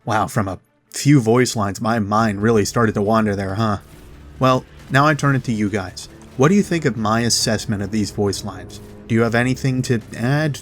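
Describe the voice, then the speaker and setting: A man hosting a game lore video, delivering narrated commentary directly to viewers with dramatic pauses. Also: medium pitch. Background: background music.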